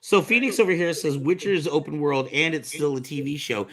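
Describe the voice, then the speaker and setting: A man's voice: on the high side, fairly quick. A man hosting a casual talk show, eagerly prompting others with questions and doubling up his words.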